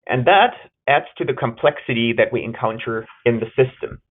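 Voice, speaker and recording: A man from Germany with a German accent giving an earnest webinar research presentation, pausing on fillers and correcting himself now and then. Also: mid-range voice. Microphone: video call. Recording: tinny phone-like line.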